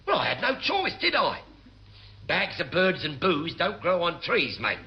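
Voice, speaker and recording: High-pitched, scheming petty officer. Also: male voice, huge pitch swings, unhurried, bright, forceful tone, exaggerated voice. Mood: grumbling, suspicious. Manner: wheedling, blustering, exaggerated. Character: scheming, put-upon Cockney chief petty officer, suspicious and grumbling. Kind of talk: 1950s-60s British radio sitcom acting. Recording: dull, hissy old radio comedy recording.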